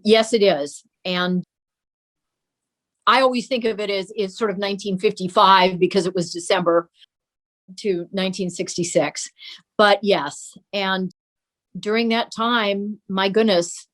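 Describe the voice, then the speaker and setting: A woman's voice: mid-range voice, steady tone, measured pace, long pauses, a bit bright. Older woman, interview guest, conversational and reminiscing. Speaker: guest, daughter of a children's TV show host.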